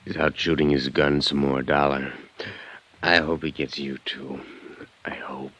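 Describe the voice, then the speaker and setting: A man acting a wounded, bitter crooked contractor in an old-time radio drama, his low husky voice weak and gasping. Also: level tone, measured pace, bright, forceful tone, heavily acted. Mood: bitter, fading.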